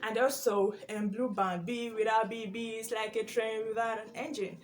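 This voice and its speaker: A young Nigerian woman with an Igbo first language, chatting casually through a question tag video in a lively, rambling way. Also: fairly high pitch, normal speed. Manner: chatty and animated, with frequent fillers and laughs. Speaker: vlogger.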